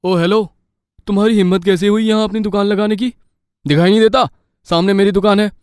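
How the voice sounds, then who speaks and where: A man voicing an arrogant, bullying shop owner in an animated moral story, speaking in an aggressive, domineering way. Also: high voice, fairly quick, clean tone, no echo, clean studio sound, voice pushed up, close to an everyday voice. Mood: angry, threatening.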